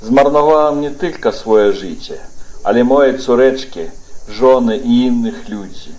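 A remorseful old beggar and thief in a religious play, acted by a man. The voice is middle-pitched and earnest, delivered as a heartfelt confession. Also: unhurried, darkish tone, natural voice. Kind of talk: dramatic religious stage-play acting.

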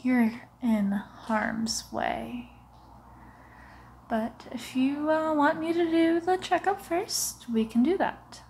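A young woman playing a sinister, coaxing vampire who poses as a doctor, in a hushed, often whispered, muffled ASMR roleplay. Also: high-pitched, a little hiss or hum, pitched above the performer's own voice. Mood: falsely concerned. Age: young adult. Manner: slow, hushed, often whispering, soothing, deliberate. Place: living room, lying on a sofa.

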